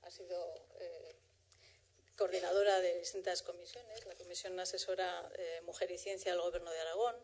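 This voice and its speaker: A woman moderates a professional conference, covering practical notes and introducing the first speaker. Her pitch stays flat and even throughout. She speaks in a measured way, often pausing and restarting her sentences. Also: slight background noise. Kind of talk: formal event introduction.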